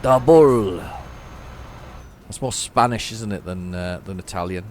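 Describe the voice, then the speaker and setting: A man's voice: low-pitched, measured pace, a little hiss or hum. A man giving casual, rambling gameplay commentary, often correcting himself. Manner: rambling, self-correcting, chatty. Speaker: gaming channel host.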